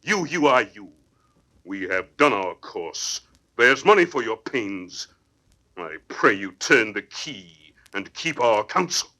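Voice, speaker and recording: An actor playing a proud, bitterly jealous general in a declamatory classical Shakespearean stage style. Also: male voice, lowish voice, sing-song, takes it slowly, pushed and bright, exaggerated voice, rough recording. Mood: bitter scorn.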